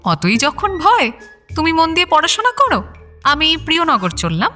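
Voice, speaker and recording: An actress playing a playful, strong-willed young girlfriend in a radio drama, very expressive. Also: high voice, moderate pace, faint music behind, full character voice. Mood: teasing.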